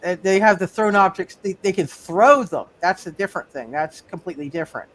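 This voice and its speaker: A high-pitched man acts as game master in a live online roleplay session. He works out rulings aloud in a halting, repetitive way, improvising as he goes. Faint noise sits behind his voice. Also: a bit bright. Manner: halting, repeats words and phrases. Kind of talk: casual, improvised game refereeing.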